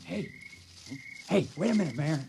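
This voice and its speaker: Radio drama role: earnest small-town fellow, reluctant crook, high voice with big swings. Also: male voice, lively intonation, moderate pace, gravelly, mellow, a little hiss or hum. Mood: sheepish, hesitant. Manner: folksy, hesitant, earnest. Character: reluctant, good-natured village man appointed town criminal. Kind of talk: old-time radio drama acting.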